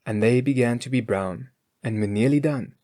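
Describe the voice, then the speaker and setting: A storyteller reading a scripted fairy tale in an expressive, measured way. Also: mid-range voice.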